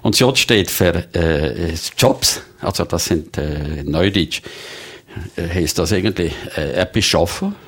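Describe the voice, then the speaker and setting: A deep-voiced man in his sixties speaks with a Swiss German Valais accent, a guest in a radio studio. He hunts for words, with many fillers and restarts. His voice sits very low. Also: a little slow, a bit bright, breathy edge. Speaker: guest, early retiree. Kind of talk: studio interview.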